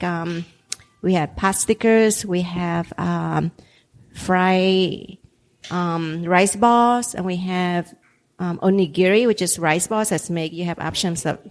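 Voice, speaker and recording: A woman who owns restaurants, whose first language is Vietnamese, chatting in an interview with frequent ums and easy laughter. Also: fairly low pitch. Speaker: restaurant owner. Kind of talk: casual interview chat.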